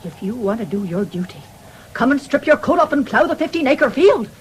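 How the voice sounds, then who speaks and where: An actress in a 1970s radio drama playing a stern, stubborn farming widow who is blunt and forthright. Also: female voice, sits high, very animated, fairly quick. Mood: indignant, defiant. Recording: noisy old radio drama recording.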